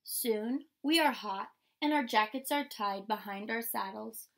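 A young adult woman reading a picture book aloud like a teacher, in a measured, instructional way. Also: a little high, gravelly. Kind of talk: picture-book read-aloud with short explanations. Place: indoor room with painted mural wall.

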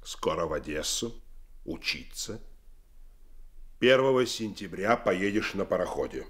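A man's voice: deep voice, very animated, unhurried, a bit bright, heavily acted. An actor playing a stern, curt sixty-year-old admiral and father in a radio drama, speaking in clipped commands. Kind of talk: Soviet radio drama acting.

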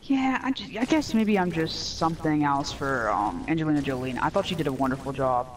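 A man's voice: on the high side, expressive, fairly quick, slight background noise. A young man co-hosting a gaming show, chatting casually with opinions of his own, a little distant from the microphone. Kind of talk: casual chat over gameplay.

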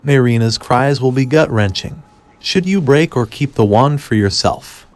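Male guide narrator: mid-pitched, measured, instructive walkthrough read over game ambience. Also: lively intonation, a little hiss or hum. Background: video game ambience.

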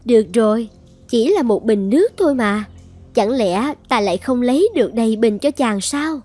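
A woman voicing a proud young dragon goddess in an animated fairy tale, with theatrical, clear enunciation. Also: fairly high pitch, moderate pace, faint music behind. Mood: caring. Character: proud young dragon goddess, devoted then vengeful wife.

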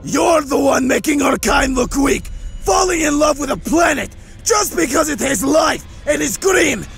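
A man voicing an arrogant, wrathful dragon tyrant in an animated comic dub, haughty and contemptuous. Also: very high-pitched, measured pace, creaky, raised voice, soft background music, exaggerated voice. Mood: contemptuous anger.

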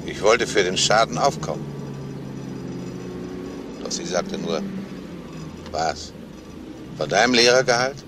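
An actor playing a despairing, self-justifying schoolteacher in a halting, brooding confession, in a crime radio play. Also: male voice, lowish voice, expressive. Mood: ashamed. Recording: noisy old radio play recording.

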